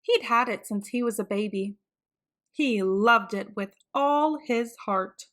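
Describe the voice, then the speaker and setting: Woman reading a picture book to kids, slow and expressive, higher bright voice. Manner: slow, expressive, sing-song. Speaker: children's book reader. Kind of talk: read-aloud storytelling.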